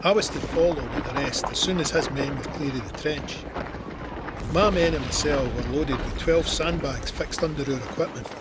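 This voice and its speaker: A man's voice: fairly high pitch, normal speed, very noisy, lightly acted. An actor playing a Scottish First World War corporal, telling his memories plainly in an audio drama. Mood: sombre recollection. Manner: plain-spoken, matter-of-fact storytelling. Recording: artillery and gunfire effects behind.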